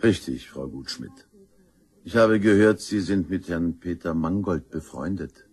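An actor playing a matter-of-fact police detective in a crime radio play, dry and understated. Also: male voice, low voice, animated, unhurried, darkish tone, slightly rough, exaggerated voice. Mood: dry, probing.

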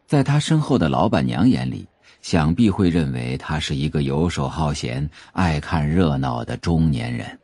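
Deep, mellow male drama narrator. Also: lively intonation, normal speed, hardly any pauses, husky, no echo. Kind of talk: scripted audiobook-style drama narration. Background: faint music.

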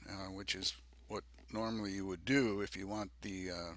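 Older man, low bright voice, halting, unscripted DIY narration, close dry sound. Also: fairly even intonation, no echo. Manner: halting, hesitant delivery with many ums. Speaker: DIY presenter. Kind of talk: unscripted narration of a project video.

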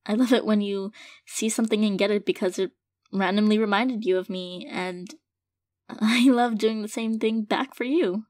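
A woman's voice: medium pitch, steady tone, dry recording, studio quality, lightly acted. A woman playing an affectionate, hesitant girlfriend in an intimate audio roleplay, speaking sincerely and tenderly to her partner. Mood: loving, sincere. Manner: soft-hearted, hesitant, halting, gently sincere. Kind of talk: intimate audio roleplay, casual monologue to listener.

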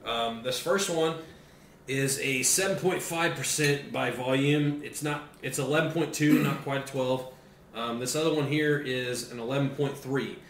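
A man's voice: medium pitch, normal speed, a bit bright. A young American man co-hosting a beer tasting, chatting casually and informally with plenty of swearing. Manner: informal, profane. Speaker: co-host of a beer tasting show. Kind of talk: casual chat. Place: small kitchen at a table, indoors. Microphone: camera mic across the table. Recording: echoey room, background hiss.

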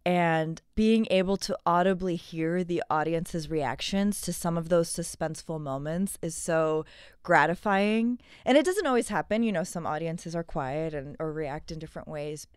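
Low, clear-voiced American woman. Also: moderate pace, no room sound, studio quality. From San Diego, California. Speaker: stage actor interviewed about a play. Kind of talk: conversational interview.

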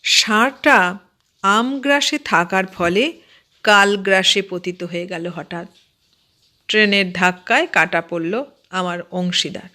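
A woman's voice: medium pitch, slow pace, long pauses, sharp tone. A woman reading a humorous story aloud as an audiobook narrator.

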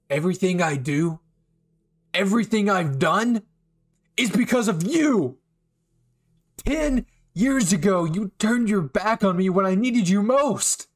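An unhinged anime villain, acted by a man in a dramatic roleplay. He speaks slowly and menacingly, taunting, swinging between quiet threat and outburst. He is bitter over an old betrayal. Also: sits high, full character voice. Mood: bitter, anguished.

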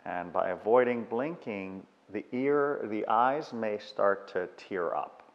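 A man guiding a meditation with unhurried, measured instructions and long pauses, in a dull recording with long reverb. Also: on the low side. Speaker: meditation guide. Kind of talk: guided meditation.

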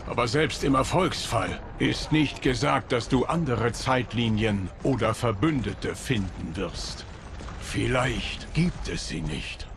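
Slow, gravelly solemn guardian of time. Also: male voice, mid-range voice, lively intonation, background noise. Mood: solemn, measured. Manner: formal, deliberate, grave. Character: solemn loyal male guardian of time, measured and dutiful. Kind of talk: dubbed video game dialogue. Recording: music behind the voice.

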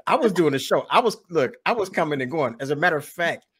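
An American man with a husky voice and very lively intonation hosts a casual chat. He jokes along like a comedian, repeating lines for effect. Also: fairly high pitch, fast. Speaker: podcast host, comedian. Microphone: large studio microphone close up.

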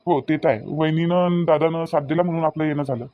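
A man's voice: a little high, fairly even intonation, fast, no room sound, narrow, tinny audio, close to an everyday voice. A man voicing a young newlywed husband in a cartoon, doting and a little impatient, coaxing insistently in a Varhadi Marathi accent from Vidarbha. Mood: content. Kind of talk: cartoon dialogue acting in colloquial dialect.

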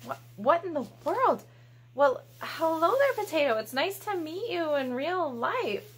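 A woman's voice: high-pitched, sing-song, a little slow, rough recording. A woman in her thirties presenting a children's storytime in a playful, exaggerated, encouraging manner, recorded in a small room at home. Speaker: library storytime host.

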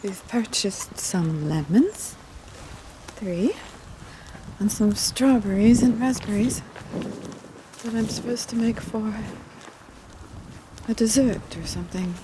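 A middle-aged woman chatting casually and gently while walking outdoors, as in an ASMR roleplay. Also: medium pitch. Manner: gentle, unhurried, meandering. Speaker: ASMR video creator. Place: outdoors on paths through woods and streets. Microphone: handheld camera at arm's length. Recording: outdoor ambient noise, passing traffic.

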